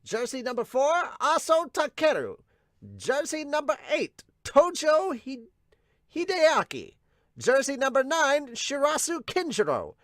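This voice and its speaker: A man's voice: very high voice, wildly swooping pitch, unhurried, fairly bright tone, no room sound, clean studio sound, voice pushed up, heavily acted. A man voicing an over-the-top, old-time radio-style announcer with theatrical, emphatic delivery. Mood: proud roll call. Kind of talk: mock advertising announcer.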